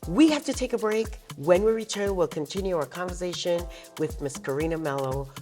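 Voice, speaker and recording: A woman hosting a talk show interview in an affirming, expressive way. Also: lowish voice, fairly quick, soft background music.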